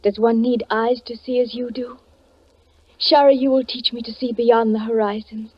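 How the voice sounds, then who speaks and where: An actress playing a gentle, trusting young blind peasant woman, earnest and tender in 1940s radio drama style. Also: a little high, steady tone, moderate pace, close to an everyday voice. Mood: tender, devoted. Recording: muffled, noisy 1940s radio recording.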